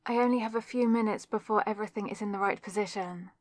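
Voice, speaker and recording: A woman's voice: medium pitch, fairly even intonation, fast talker, no echo, clean studio sound, lightly acted. A young woman playing a nervous, lovestruck student witch who chats earnestly to herself in an ASMR audio roleplay. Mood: focused, chatty. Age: young adult. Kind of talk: ASMR audio roleplay, scripted solo monologue.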